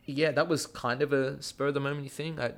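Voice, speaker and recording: A man's voice: medium pitch, steady tone, fairly quick, a bit bright. A man with a watered-down Australian accent, chatting as a podcast guest about his acting and the school theatre program he runs, rambling with plenty of fillers. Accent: Australian, softened. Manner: rambling, with many fillers and restarts. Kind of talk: casual podcast chat.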